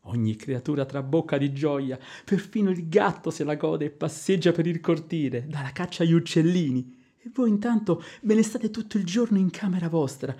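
A man's voice: fairly high pitch, moderate pace, warm tone, breathy edge. An older man acting in a radio drama as a fussy, pleading old manservant, coaxing and homely.

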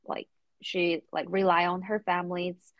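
Low-pitched young woman, English speaker. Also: little pitch movement, no echo, dull audio. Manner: halting, careful phrasing in non-native English. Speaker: podcast guest, recent therapy student. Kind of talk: reflective interview answers.

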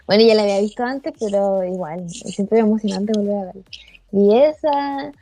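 A woman's voice: mid-range voice, moderate pace. A woman with a Chilean accent, a podcast guest chatting casually and laughing easily. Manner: laughs easily, relaxed slang. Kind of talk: casual chat.

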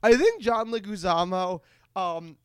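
Very high-voiced man hosting a comedy podcast. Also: lively intonation, a little slow, clear, resonant tone, no echo, clean studio sound. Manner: playful, jokey. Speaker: podcast host.

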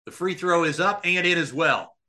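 A man calling a live basketball game as a radio play-by-play announcer, with an excitable delivery. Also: fairly high pitch, moderate pace.

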